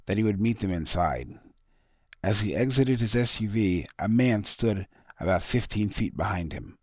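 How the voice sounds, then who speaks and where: A man with a low voice narrates fiction from a script, as an author reading his own work. The recording is dry and somewhat dull, with no room sound. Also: dry recording.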